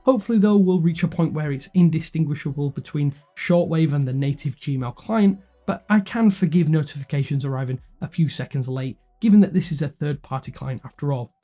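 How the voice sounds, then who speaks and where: Mellow-voiced man narrating a tech review. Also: fairly high pitch. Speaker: tech reviewer and video host. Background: background music.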